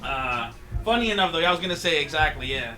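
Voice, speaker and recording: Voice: mid-range voice, expressive, fast, music in the background. A tipsy man co-hosting a casual podcast, rambling, swearing freely and laughing a lot. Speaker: podcast co-host. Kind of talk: casual chat.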